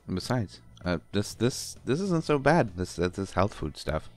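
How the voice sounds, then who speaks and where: A man playing an affectionate, bashful partner in an intimate roleplay audio, talking haltingly with stammers and gentle teasing. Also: fairly low pitch, big pitch swings, faint music behind. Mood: tender, bashful. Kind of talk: intimate roleplay audio acting, one side of a conversation.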